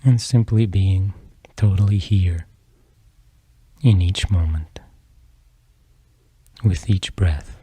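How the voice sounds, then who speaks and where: Very mellow, slow-paced male meditation guide. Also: deep voice, slightly rough, no room sound. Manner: unhurried, measured phrases with long pauses.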